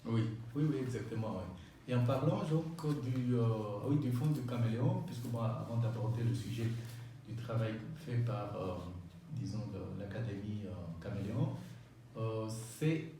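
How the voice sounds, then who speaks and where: A man's voice: fairly low pitch, normal speed, creaky, warm tone. A man hosting a radio interview, agreeing often and asking questions at length. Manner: agreeable, many affirmations. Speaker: radio host. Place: radio studio. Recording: echoey, distant mic, faint noise.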